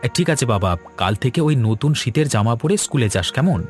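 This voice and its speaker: Man as village dad, cartoon, mid-pitched, animated, good-natured, placating, music behind. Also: fast talker, natural voice. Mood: chatty. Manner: colloquial, placating. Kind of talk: Bengali cartoon story dubbing.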